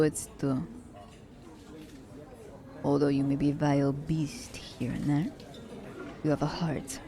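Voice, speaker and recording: This is a poised female assassin, acted out by a woman for an ASMR romance roleplay. Her voice sits deep for a woman, with a dark, relaxed tone. She phrases things formally and teases her captive with doting condescension. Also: creaky. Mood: patronising, earnest. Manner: formal, slightly stilted wording, teasing. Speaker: voice actor.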